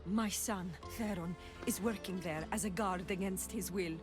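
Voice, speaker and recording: A woman's voice: mid-range voice, moderate pace, over music, lightly acted. A woman playing an anxious, secretive village mother in a video game, speaking formally and urgently. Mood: worried, pleading. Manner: dramatic, urgent, formal wording. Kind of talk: video game cutscene acting.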